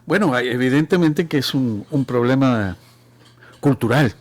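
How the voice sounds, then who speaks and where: A middle-aged man from Chile with a Chilean accent, speaking as a guest in a panel discussion, measured and repeating words as he searches for terms. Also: mid-range voice, animated, darkish tone.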